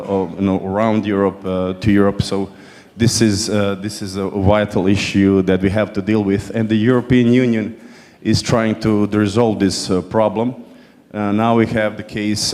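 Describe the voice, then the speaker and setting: A man with a Croatian accent chairs a conference panel, giving formal opening remarks in a halting, hesitant way and often repeating words. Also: lowish voice, a little mellow. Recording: echoey hall with long reverb.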